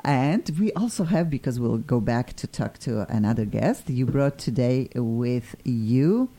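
Polish lady hosting live radio, gets all excited, pitch jumping around, mellow dark voice. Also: measured pace. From Poland. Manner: excitable, sweeping pitch swings, broken English phrasing. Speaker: radio show host. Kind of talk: live radio talk show.

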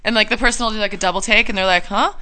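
A woman's voice: medium pitch, very fast, sharp tone, clean tone. A young woman co-hosting a radio talk show, chatty and self-mocking, joking and laughing often. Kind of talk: casual profane banter.

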